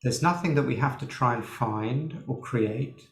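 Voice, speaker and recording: A man leading a guided meditation as a teacher, speaking in an unhurried way with long pauses. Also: medium pitch. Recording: roomy, echoing sound.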